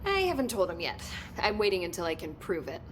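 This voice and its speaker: An eager young scientist from a video game, American and on the low side for a female voice. She explains things in a chatty, excitable way. A faint rumble sits underneath. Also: a little fast. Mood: earnest, intrigued. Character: eager, curious woman research scientist. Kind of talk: video game dialogue acting.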